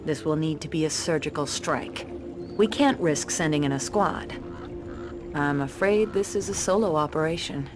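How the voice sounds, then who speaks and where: A woman playing a cautious, resolute resistance leader in a game cutscene, speaking in a serious, measured, businesslike way. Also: deep voice, over music, lightly acted. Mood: grave, resolute. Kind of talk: video game cutscene acting.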